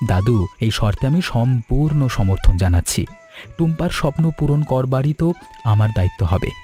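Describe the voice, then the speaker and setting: A man voicing a sincere, courteous young suitor in an animated story, speaking earnestly and politely. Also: medium pitch, a little fast, soft background music, natural voice. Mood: respectful, grateful. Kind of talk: Bengali animated story dialogue.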